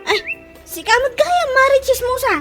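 A woman's voice: very high voice, expressive, moderate pace, music in the background. A woman playing a nagging, exasperated wife in an Ilocano radio comedy drama, with exclamatory delivery and an Ilocano accent. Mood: defensive. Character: scolding, exasperated wife and gossiping neighbour woman.

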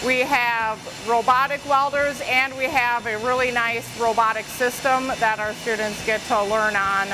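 A woman in her thirties, a welding instructor, explaining her program in an upbeat, clear way in a shop. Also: a little high, noisy background. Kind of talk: promotional interview. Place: large welding shop, indoors. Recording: music bed underneath.

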